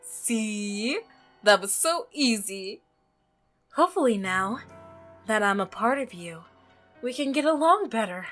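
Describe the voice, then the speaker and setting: Woman voicing an unsettling, soft-spoken obsessive milkman. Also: a little high, lively intonation, slow pace, long pauses, faint music behind. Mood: eerily pleased.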